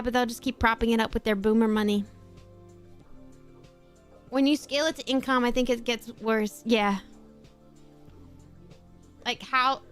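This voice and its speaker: A woman's voice: on the high side, fairly bright tone, clear, resonant tone. A woman from Australia with a mostly North American-sounding accent and a slight Australian edge on some words, chatting casually on stream and reading chat aloud. She laughs often and swears casually.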